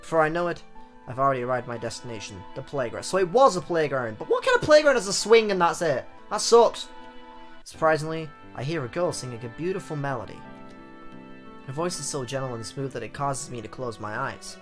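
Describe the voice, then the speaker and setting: A man with a mid-range voice plays host of a let's play. He reads visual novel text aloud theatrically and drops in playful asides, with soft game music behind him. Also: medium pitch, sing-song, a little fast.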